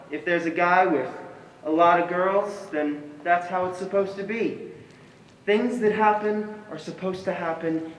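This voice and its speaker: A man playing an earnest, confident young guy who repeats himself deliberately in a comic stage monologue. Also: on the high side, recorded from a distance, natural voice. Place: stage in a hall. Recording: echoey hall, faint noise.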